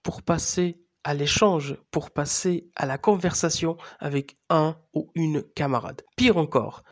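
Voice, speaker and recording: A man in his thirties, a native Arabic speaker, hosting a podcast with measured, scripted narration. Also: a little high, darkish tone. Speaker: podcast host and Arabic teacher.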